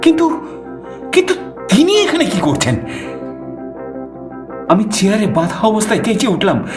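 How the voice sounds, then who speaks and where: A man narrating a suspense story in the first person, theatrical, with dramatic pauses. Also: high-pitched, wildly swooping pitch. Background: music.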